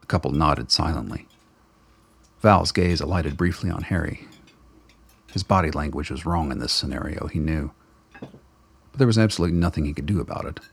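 A man narrating a radio drama in steady, close third-person storytelling. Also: rumbling low, moderate pace, creaky, pauses often, husky. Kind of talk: scripted narration.

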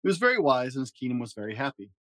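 A man reading a children's fairy tale aloud in a gentle storytelling manner. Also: very animated, very fast, no echo, clean studio sound. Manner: gentle storytelling with varied pitch for emphasis. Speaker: storybook reader.

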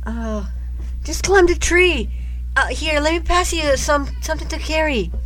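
A woman playing an emotional, pleading teenage daughter in an amateur radio drama, speaking haltingly. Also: high voice, noisy background. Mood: sweet, flustered. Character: pleading teenage daughter, secretly pregnant, in love. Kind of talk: amateur old-time radio drama acting.

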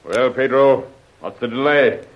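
A man's voice: mid-range voice, a little slow, faint music behind, close to an everyday voice. An actor playing a ruthless male villain, impatient and threatening, in a dull old radio recording. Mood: menacing. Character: ruthless male villain who commands the hunters. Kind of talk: 1930s radio drama acting.

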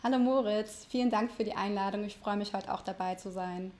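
A woman in her thirties who grew up in Lower Saxony speaking Italian first, answering interview questions as a lawyer in a chatty way with frequent hesitation fillers. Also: brisk, a little mellow. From Lower Saxony, Germany. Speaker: lawyer, podcast guest.

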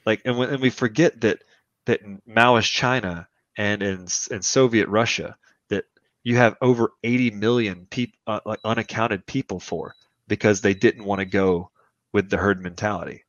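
A man in his thirties speaks in a low voice, chatting as a podcast guest and pressing his opinions with emphasis. His talk wanders through restarts as he builds his points. The audio is dry and a little dull, like a lo-fi podcast mic. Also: fairly even intonation, normal speed, a bit bright, no echo. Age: 30s. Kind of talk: casual conversational podcast chat.

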